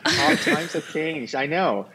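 A young man from Singapore telling his story as a guest, relaxed and halting, with frequent ums and self-deprecating humour. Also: fairly high pitch, vocal fry, a loud crowd, rough recording. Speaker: cheesemonger guest. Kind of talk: casual interview chat.

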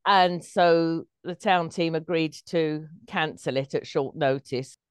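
A woman's voice: deep voice, slow pace, smooth, no room sound, clean studio sound. A woman, a guest performer telling anecdotes casually in an interview.

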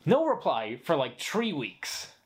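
Young guy from spain ranting on a podcast, pitch jumps all over, swears a lot. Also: slow, slight background noise. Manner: animated ranting, swearing freely. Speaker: podcast co-host. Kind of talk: casual drunken chat.

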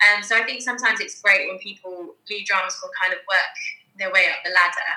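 A woman's voice: mid-range voice, brisk, bright, forceful tone, echoey room, poor audio. A woman guest in a casual interview, talking in a chatty, effusive way. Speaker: guest performer.

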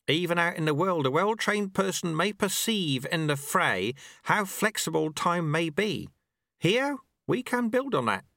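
A man's voice: sits high, sharp tone, no room sound, voice pushed up, full character voice. A man puts on a sly old monk master with a raised, sing-song voice that swoops up and down. He teases in a dry, mocking way and never hurries, a storybook sage who enjoys unsettling his pupil. The sound is close and dry. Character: sly, teasing old male monk and martial arts master. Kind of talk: audiobook character voice.

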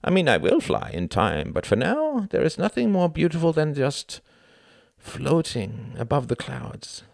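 Brooding young German man, lively and high, gravelly, as a male narrator puts him on. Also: measured pace, no room sound, clean studio sound, exaggerated voice. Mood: teasing.

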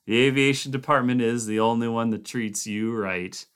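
A man's voice: mid-range voice, fairly even intonation, normal speed, fairly bright tone, clear, resonant tone, lightly acted. A man voicing a huge, bearded chief pilot who speaks heartily. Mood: hearty.

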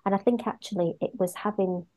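A mother talking in a gentle, reflective way as a guest, with frequent fillers. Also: mid-range voice, steady tone, brisk, poor audio. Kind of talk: casual conversation.

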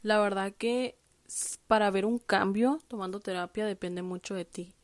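A woman's voice: mid-range voice, moderate pace, no room sound. Candid, chatty woman, dry sound. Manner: candid, chatty, with frequent fillers and self-corrections. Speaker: podcast host. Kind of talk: casual personal monologue. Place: lives in the United States.

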